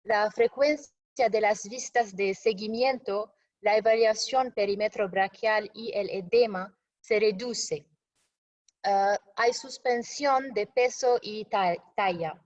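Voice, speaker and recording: A woman presenting slides in a webinar in a language that is not her native one, pausing often with fillers and restarting words. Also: medium pitch, lo-fi sound. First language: not Spanish. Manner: halting, frequent fillers and self-corrections.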